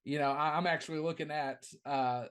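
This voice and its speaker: Man with a carrying, not-deep voice. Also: steady tone, a little fast, fairly bright tone, clear, resonant tone. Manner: enthusiastic, repeats words at the start of phrases. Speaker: wrestling commentator and ring announcer. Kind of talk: casual podcast interview.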